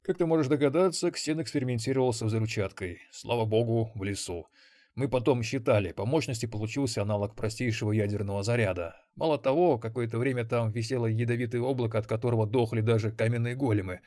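Seasoned old granddad voiced by a narrator, calm, wistful, measured, mid pitch, dry recording. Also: male voice, no echo, natural voice. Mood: reminiscing. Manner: measured, reflective.

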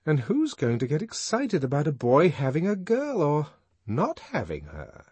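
A man's voice: a little high, wildly swooping pitch, moderate pace, darkish tone, breathy edge, no echo, voice pushed up, exaggerated voice. A man voicing an intense, restless intellectual in an audiobook, emphatic and musing aloud. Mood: animated, explaining. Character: restless, intense male intellectual, amused yet searching. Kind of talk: audiobook character acting.

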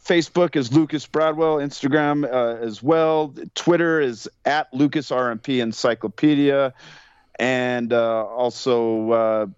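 A man talks casually and somewhat haltingly as a podcast guest. His voice is smooth and clear, recorded dry with a slightly muffled tone. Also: mid-range voice, fairly even intonation, no echo. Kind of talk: casual plugging of social media. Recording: dry, slightly dull audio.

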